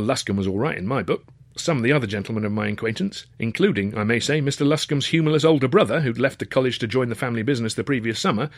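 A man with an English accent reading a first-person story in a wry, dryly humorous way, slightly husky. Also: mid-range voice, dry recording. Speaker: author reading his own novel.

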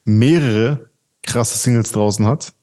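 A young man co-hosting a casual podcast, with a mellow, warm tone. He stays laid-back and shrugs things off in relaxed slang. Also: medium pitch, slow pace. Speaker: podcast co-host.

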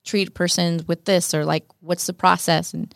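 Earnest Mexican-American woman, low-pitched voice. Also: normal speed, vocal fry, no echo, studio quality. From United States. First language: Spanish. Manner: earnest, animated, repeats phrases. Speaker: podcast guest, radio host. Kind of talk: casual conversation.

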